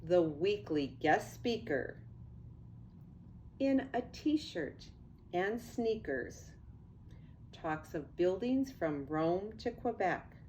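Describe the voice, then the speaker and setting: An older woman, a grandmother, reads a picture book aloud to young children in an expressive, unhurried way with casual asides. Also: a little high, muffled recording, slight background noise. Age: 60s or older.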